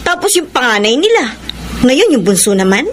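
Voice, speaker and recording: A woman's voice: high-pitched, big pitch swings, moderate pace. A woman playing a resentful, suspicious young wife who nags, in a radio drama. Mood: suspicious, complaining. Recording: noisy radio drama sound, ambient effects.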